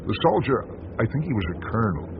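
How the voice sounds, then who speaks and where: A man's voice: medium pitch, big pitch swings, fast talker. An actor playing a world-weary, bitterly sardonic small-town American newspaperman in a radio drama, speaking with theatrical deliberation. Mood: grave, weary. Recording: dull lo-fi radio broadcast, noisy.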